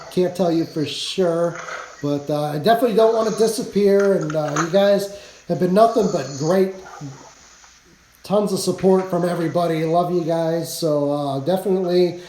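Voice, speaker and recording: Hoarse chatty man, echoey room. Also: fairly high pitch, mellow, slight background noise. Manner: rambling, chatty, laughs often. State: hoarse, losing his voice. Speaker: live streamer. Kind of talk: casual live stream chat.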